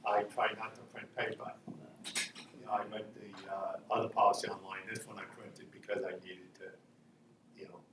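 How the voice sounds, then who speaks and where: A man chairing an informal village meeting, talking in a halting, matter-of-fact way. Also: lowish voice, little pitch movement, fairly bright tone. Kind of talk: informal council meeting discussion. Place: meeting room, picked up from a distance. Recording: echoey room, distant mic, faint noise.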